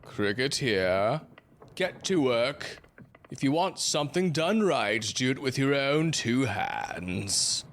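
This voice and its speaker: An actor playing a pompous, fussy first mate of an old sailing ship in a theatrical audio drama, with florid archaic phrasing. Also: male voice, medium pitch, sing-song, takes it slowly, fairly bright tone, a little hiss or hum, full character voice. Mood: offended, commanding.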